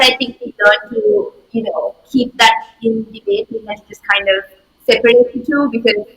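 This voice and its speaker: A young debater from Sri Lanka talks easily about home life, the voice bright with a husky grain. The delivery is relaxed and conversational. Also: medium pitch, rough recording. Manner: easygoing, conversational. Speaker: student debater. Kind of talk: casual interview answer.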